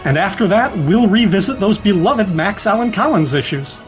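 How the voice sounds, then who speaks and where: A man's voice: sits high, expressive, moderate pace. A man reading a scripted podcast promo over music.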